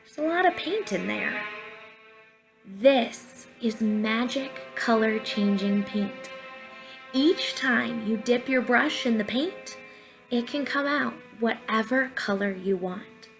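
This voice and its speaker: A woman's voice: fairly high pitch, slow, creaky. A young woman speaking as a drama teacher, guiding children through an activity in an encouraging, explanatory way, recorded at home on a webcam. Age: young adult. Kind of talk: instructional talk and guided pantomime narration for children. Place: living room at home, indoors. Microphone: webcam or laptop at arm's length. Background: soft quiet music.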